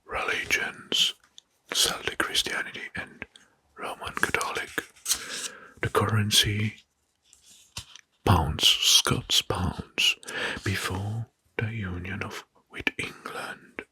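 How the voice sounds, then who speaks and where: A man narrating history as an ASMR host in a breathy whisper, slowly and deliberately, repeating words, close to the mic. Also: lowish voice, steady tone, pushed and bright. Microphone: close microphone.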